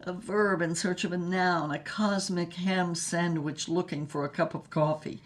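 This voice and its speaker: A woman's voice: on the low side, steady tone. An actress playing a flamboyant, rapturous screen star reading her own journal in a florid, theatrical manner. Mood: grand, rapturous. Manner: florid, theatrical, measured phrasing.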